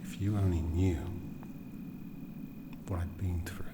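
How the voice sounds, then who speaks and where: A man voicing an ancient, aristocratic vampire lord in a breathy half-whisper for an ASMR roleplay, menacing, world-weary and condescending, with deliberate theatrical phrasing. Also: low voice, steady tone, very noisy. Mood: brooding, weary.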